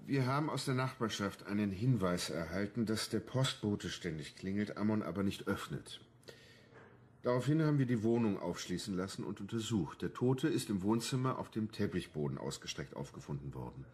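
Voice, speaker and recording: An actor playing a matter-of-fact homicide inspector in a crime radio drama, measured, official and blunt. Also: male voice, on the low side, lively intonation, darkish tone, close to an everyday voice. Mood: official, sober.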